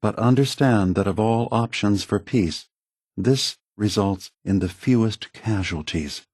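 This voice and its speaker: An actor playing an ancient synthetic android leader in a video game, calm, sorrowful and earnest, with measured, deliberate delivery. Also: male voice, lowish voice, slightly rough, no room sound, studio quality. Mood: measured persuading. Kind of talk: scripted video game dialogue.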